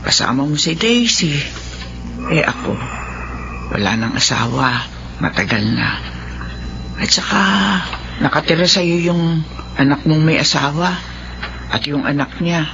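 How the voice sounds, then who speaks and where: A wistful sixty-year-old mother in a radio drama, lonely and tender. Her slow delivery swings widely in pitch, drawing out words with a sigh, over loud background music. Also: animated, creaky, exaggerated voice. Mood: wistful, melancholy. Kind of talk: Filipino radio drama acting. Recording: dull lo-fi sound under loud music.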